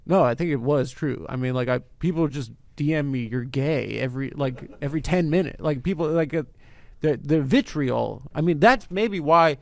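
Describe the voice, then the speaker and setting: A man guesting on a podcast, talking in a deadpan, self-deprecating, mock-indignant way. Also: on the high side, moderate pace, vocal fry, no room sound. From born in Santa Monica, grew up in Las Vegas. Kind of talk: deadpan casual chat.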